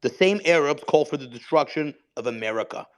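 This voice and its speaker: A man's voice: fairly high pitch, expressive, brisk. Argumentative man in a heated debate. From Israel. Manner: argumentative, defensive, repeating himself. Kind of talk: heated live online political debate. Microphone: online voice chat mic.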